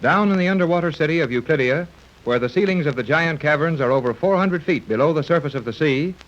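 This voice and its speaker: A male announcer giving measured, dramatic narration for a 1930s radio serial. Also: a little high. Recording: muffled old radio recording.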